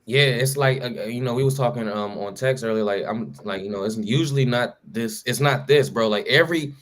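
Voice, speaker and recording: Man, casual sports podcast talk, slangy, rambling, lots of fillers, mid pitch. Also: fairly quick, a bit bright. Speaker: podcast co-host.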